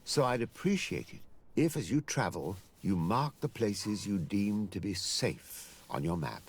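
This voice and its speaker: An actor playing a courteous older professor and mapmaker in a fantasy game, creaky-voiced, polite and wordy. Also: male voice, on the low side, expressive, measured pace, exaggerated voice. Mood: polite, appealing. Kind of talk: fantasy video game acting.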